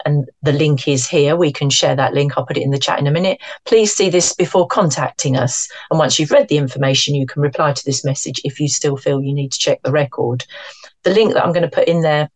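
A woman's voice: deep voice, dry recording. A woman with an English accent giving an online briefing to practice managers over a video call, with a hesitant delivery full of fillers. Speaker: practice support adviser.

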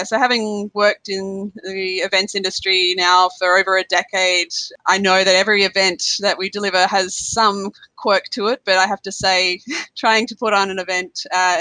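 A woman's voice: medium pitch, flat delivery, moderate pace, bright, forceful tone, no echo, low-quality recording. An Australian woman chatting as a guest on a podcast interview over a remote call, laughing lightly between phrases. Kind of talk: casual conversational interview.

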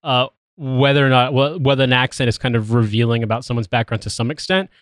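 A man's voice: mid-range voice, fairly even intonation, quick pace, a bit bright, dry recording, studio quality. A man with a Midwestern American accent, chatting casually as a podcast co-host in a rambling way. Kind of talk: casual chat.

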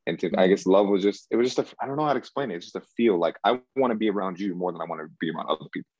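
A man from Cameroon in his thirties, a guest in an interview, talking things through slowly and hesitantly, full of "like" and restarts. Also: sits low, a little mellow, no room sound, dull audio. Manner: searching, hesitant, repeats himself and says "like" often. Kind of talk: reflective conversational interview.

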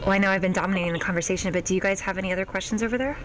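A woman's voice: mid-range voice, steady tone, very fast, music in the background. A woman hosting a podcast interview, asking questions in a rambling way and correcting herself. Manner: rambling, apologetic self-corrections. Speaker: podcast host. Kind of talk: casual interview chat.

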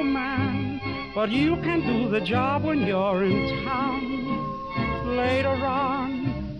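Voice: high-pitched, dull audio. A singer performing an old-time Christmas song on a vintage recording. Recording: band-limited vintage recording, loud music. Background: loud musical accompaniment.

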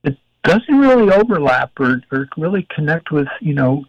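An older man with a gravelly voice, a guest in an interview, talking in a rambling, emphatic way while searching for words. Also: a little high, big pitch swings, normal speed, dry recording. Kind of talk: casual interview talk. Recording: dull, dry audio.

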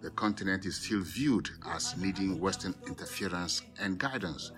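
Deep-voiced West African male academic. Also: normal speed, over music. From Ghana and Togo. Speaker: professor of African literature, podcast guest. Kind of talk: academic talk.

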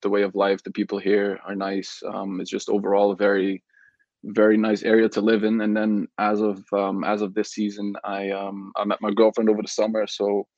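A man's voice: on the low side, completely flat delivery, moderate pace, darkish tone, dry recording. A young man who grew up in Belgium, a soccer player answering interview questions casually with many restarts and fillers. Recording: dull lo-fi remote audio.

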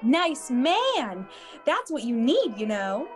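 A woman's voice: high voice, very animated, slow, faint music behind, full character voice. A woman playing a fussy, motherly manager of a college babysitting bureau in a radio play, with chirpy, solicitous politeness. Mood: motherly, brisk. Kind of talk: classic radio play acting.

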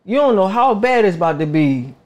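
A man's voice: high voice, sing-song, a little fast, darkish tone. A man in his thirties hosting a casual podcast, talking in a laid-back, slangy way and firing off this-or-that questions. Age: 30s. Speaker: podcast host. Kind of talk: casual hip-hop podcast chat.

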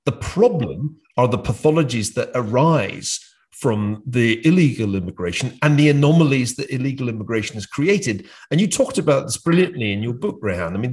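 A British man hosting a discussion, speaking in a measured way with frequent hesitations and restarts. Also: medium pitch, darkish tone. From United Kingdom. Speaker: podcast host. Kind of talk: conversational discussion.

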